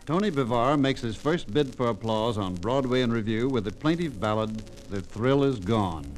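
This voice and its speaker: A man's voice: medium pitch, lively intonation, moderate pace, over music, rough recording. A man hosting a 1950s radio show with polished, formal showbiz patter, on a vintage recording.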